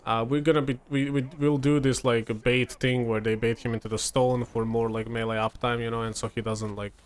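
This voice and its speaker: Young Serbian man, native Serbian speaker, easygoing game commentator, mid-pitched voice, loose and chatty delivery. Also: fairly quick, clean tone. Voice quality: relaxed, chatty, many fillers and restarts. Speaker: gameplay commentator. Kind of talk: casual gameplay commentary.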